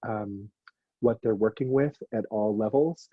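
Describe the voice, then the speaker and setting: Forties male host, dark breathy tone, dry lo-fi sound, thoughtful questions. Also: fairly low pitch, unhurried, no room sound. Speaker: host, interviewer. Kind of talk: casual interview.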